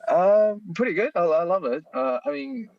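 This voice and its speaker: Animated Chinese-accented man in his thirties, emphatic guest; muffled, dry call sound. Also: sits high, normal speed, gravelly, dry recording. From China. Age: 30s. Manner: animated, emphatic, frequent restarts. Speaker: guest, software library author. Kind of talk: casual interview chat.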